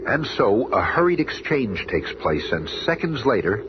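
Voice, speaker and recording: A man's voice: on the low side, big pitch swings, a little slow, noisy background. A man narrating a 1970s superhero radio serial in a portentous, suspenseful style. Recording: dull vintage radio recording. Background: dramatic music.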